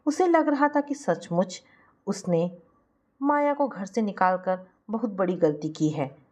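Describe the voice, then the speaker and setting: A woman's voice: mid-range voice, expressive, unhurried, mellow. A woman reading a scripted family moral story as its narrator.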